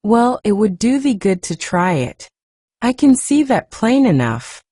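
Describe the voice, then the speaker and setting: A woman's voice: mid-range voice, very animated, unhurried, dark tone, no room sound, clean studio sound. A woman voicing a good-tempered, outspoken young Yorkshire housemaid in a storybook reading, chatty and homely. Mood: indignant, outspoken.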